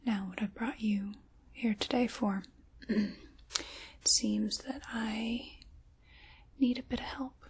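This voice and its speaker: A woman playing a sinister, chaos-loving dark witch in a whispered, theatrical ASMR roleplay, soft-spoken and close to the microphone. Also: on the high side, steady tone, takes it slowly, slightly rough. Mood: coolly menacing.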